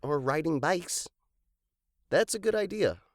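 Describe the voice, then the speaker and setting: A woman voicing a curious, practical young explorer in an expressive children's storybook read-aloud. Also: low-pitched, unhurried, no room sound, clean studio sound, voice pushed down. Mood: curious, earnest. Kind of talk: dramatized children's storybook dialogue.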